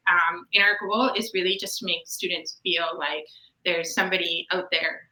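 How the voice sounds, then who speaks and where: A Canadian woman in her thirties answers interview questions in a bright, crisp voice that carries a roomy echo. She talks in a chatty way, speeding up in bursts and laughing often. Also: on the low side, fairly even intonation, moderate pace. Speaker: guest, nonprofit educator. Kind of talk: casual interview chat.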